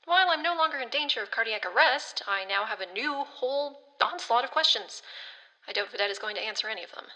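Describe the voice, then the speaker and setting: Woman playing a high, prim, dry-witted brain. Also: expressive, quick pace, sharp tone, poor audio. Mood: dry, testy. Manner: formal, dry, precise wording. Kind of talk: comedic audio drama acting.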